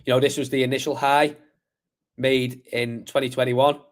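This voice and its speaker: A man hosts a live crypto stream, his voice a little croaky today. He is mid-pitched and talks through charts in a loose, rambling way, full of fillers and restarts. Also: flat delivery, moderate pace. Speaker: crypto livestream host. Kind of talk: casual live chart analysis.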